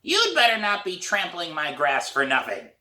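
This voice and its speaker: A man voicing a gruff, sharp-tongued old woman neighbor in a storybook, with a hoarse, raspy voice and a blunt, scolding manner. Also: on the high side, huge pitch swings, moderate pace, echoey room, recorded from a distance, voice pushed up, exaggerated voice. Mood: stern, suspicious.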